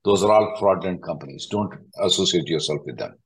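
A man's voice: sits low, brisk, rough recording. Blunt man giving call-in advice. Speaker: immigration attorney hosting a call-in. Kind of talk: question-and-answer advice call.

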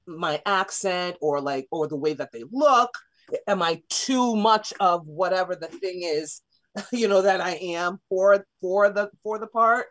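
A woman's voice: on the low side, a bit bright. Woman, guest on a studio radio show, casual and chatty, many fillers. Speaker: theater artist and guest.

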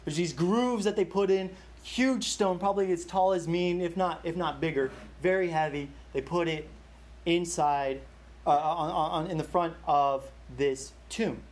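A man's voice: on the high side, a little slow. A young man leading a Bible study, telling a personal story in a casual, chatty way with many fillers and restarts.

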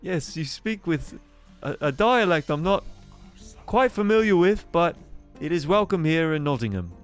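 A man's voice: a little high, very animated, normal speed, clean tone, soft background music, pitched above the performer's own voice. A man improvising an agreeable, puzzled medieval townsman, speaking in an earnest, politely inquisitive way.

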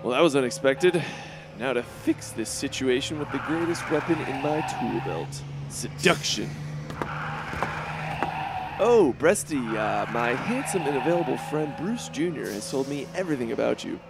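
An actor playing a boastful, cowardly young superhero who talks in a self-serving, blustery and panicky way. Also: male voice, mid-range voice, animated, normal speed, very noisy, close to an everyday voice.

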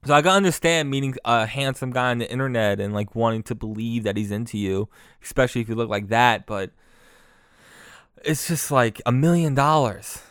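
A man's voice: medium pitch, a little fast, a bit bright, smooth, dry recording, clean studio sound. A young man, hosting his own podcast in a loose, profane, mocking solo rant full of restarts. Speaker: podcast host.

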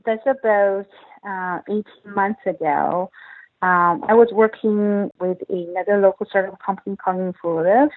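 A woman's voice: mid-range voice, no room sound. Woman on a tinny phone line. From northeast China. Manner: hesitant, many fillers and restarts. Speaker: guest, company finance chief. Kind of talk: podcast interview, casual chat.

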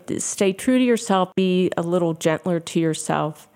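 A woman from Pennsylvania, a podcast guest, offering gentle, heartfelt advice. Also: on the low side, moderate pace.

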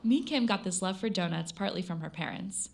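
A woman reporter reading scripted narration for an audio story in a measured, storytelling way. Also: on the low side, vocal fry, darkish tone. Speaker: radio reporter.

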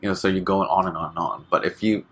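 A man from Hong Kong, a guest chatting casually in an interview, relaxed and full of fillers, laughing often. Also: deep voice, quick pace, breathy edge.

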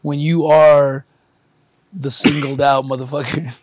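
A man's voice: a little high, little pitch movement, moderate pace, a little mellow, no room sound, low-quality recording. A man from Ukraine hosting a casual, candid interview chat. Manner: candid, reflective, swears casually. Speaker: podcast host.

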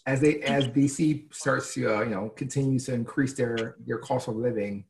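Relaxed male podcast host. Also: medium pitch, moderate pace, gravelly, low-quality recording. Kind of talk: casual podcast interview.

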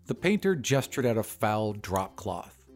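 A man reading fiction as a podcast narrator, in an expressive, scripted storytelling style. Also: fairly low pitch, a little slow, faint music behind.

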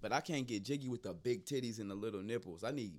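A man in casual comedic chat whose voice is going hoarse, riffing loosely and cracking profane jokes. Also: lowish voice, fast talker, a little mellow. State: losing his voice.

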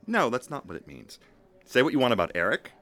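An actor playing a cocky, passionate, bantering young soccer fan in an audio drama. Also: male voice, medium pitch, quick pace. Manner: bantering, animated.

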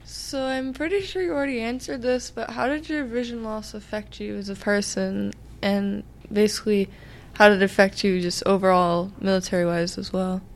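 A woman's voice: medium pitch, steady tone, moderate pace, few pauses. A young female voice asking an interview question from the audience.

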